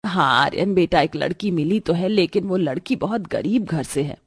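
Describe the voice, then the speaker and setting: A woman's voice: on the low side, animated, normal speed. A woman playing a worried, protective middle-aged mother in a moral story, earnest and fretful. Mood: anxious.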